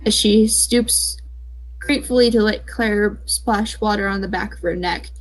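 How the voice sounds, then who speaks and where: A woman's voice: medium pitch, steady tone, unhurried, rough recording. A young woman casually reading a fan comic aloud with friends, breaking off to joke and chat. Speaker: comic dub reader.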